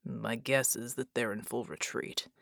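Woman as stoic man, lowered voice, analytical, musing, measured, audiobook teammate. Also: fairly even intonation, vocal fry, a bit bright, no echo, studio quality, exaggerated voice.

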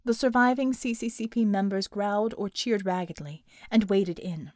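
A woman's voice: mid-range voice, moderate pace, darkish tone, dry recording. A woman narrating a scripted dramatic action story as an audiobook reader.

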